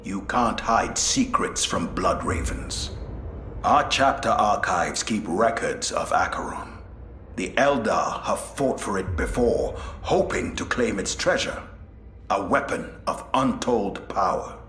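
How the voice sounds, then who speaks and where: A man playing a grave, knowing space marine advisor in a video game, solemn and measured. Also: lowish voice, expressive, fairly bright tone. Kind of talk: dramatic video game cutscene acting. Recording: echoey, faint background noise.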